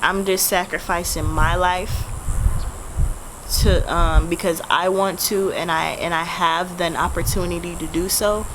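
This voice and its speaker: A young woman in her twenties with a Jamaican accent talks straight to camera, casual and candid, with plenty of swearing. Her voice sits a little low. Clear background noise and passing traffic run under the recording. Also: fairly even intonation, unhurried. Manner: casual, blunt and profane. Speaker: vlogger. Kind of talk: candid personal storytelling to camera.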